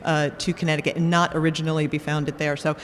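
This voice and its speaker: A woman, a biotech executive, describing her company in a measured, formal way on a panel. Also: low voice, little pitch movement. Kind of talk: panel introduction. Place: conference hall. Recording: long hall reverb, faint room noise.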